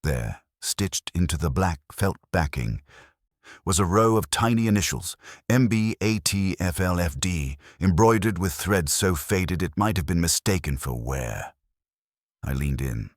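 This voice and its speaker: A very deep male voice narrates a scripted mystery in the first person. His delivery is measured and formal, with animated rises and falls in pitch. The sound is dry and close, free of room echo. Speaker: audiobook narrator.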